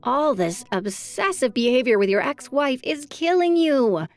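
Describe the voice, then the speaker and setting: A woman's voice: sits high, big pitch swings, measured pace, no echo, soft background music. A woman playing a sassy, blunt secretary to a private detective in a noir audio drama, sarcastic and frank.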